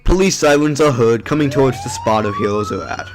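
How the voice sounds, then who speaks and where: A man's voice: fairly high pitch, huge pitch swings, brisk, a little hiss or hum. Man narrating an audio drama.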